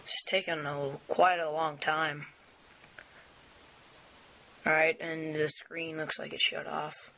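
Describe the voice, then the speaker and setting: Hoarse young man with a bright voice. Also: on the high side, flat delivery, takes it slowly, pauses often, slight background noise. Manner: rambling, hesitant, many fillers. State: losing his voice. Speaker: tech video maker. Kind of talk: casual screen walkthrough. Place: indoors at a wooden table. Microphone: camera pointed down at a device on a table.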